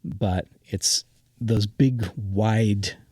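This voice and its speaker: A man explaining music in a reflective interview, speaking hesitantly and haltingly. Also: lowish voice, steady tone, a little mellow, breathy edge, no echo, clean studio sound. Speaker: composer being interviewed.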